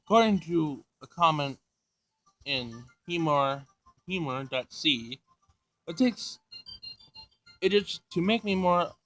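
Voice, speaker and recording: A man voicing a formal, literal-minded android in a teenage girl's body, stiff and halting, for a visual novel read-aloud. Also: fairly high pitch, fairly bright tone, low-quality recording. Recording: music behind the voice.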